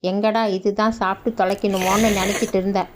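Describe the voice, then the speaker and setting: A woman's voice: mid-range voice, flat delivery, quick pace, noisy background, natural voice. A woman voicing a lazy, sarcastic village lad. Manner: sarcastic, grumbling. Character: lazy cheeky young village man. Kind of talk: cartoon voice acting.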